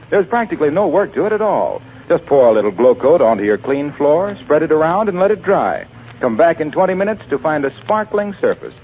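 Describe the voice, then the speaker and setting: A man working as a radio announcer, delivering a sponsor's pitch in 1940s advertising style. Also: slight background noise. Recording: tinny 1940 radio, faint music.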